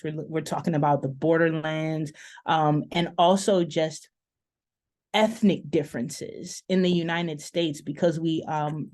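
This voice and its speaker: A woman professor speaking on an online panel, thoughtful and measured, with frequent "um" pauses as she works through her ideas. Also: sits low, darkish tone. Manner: thoughtful, many "um" pauses, stretches key words. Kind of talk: panel discussion remarks over video call.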